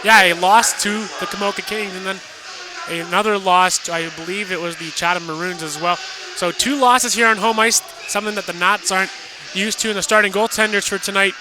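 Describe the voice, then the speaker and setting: A man's voice: sits high, steady tone, brisk. A man giving color commentary and analysis on a live hockey radio broadcast. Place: ice hockey arena. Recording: noisy live arena broadcast.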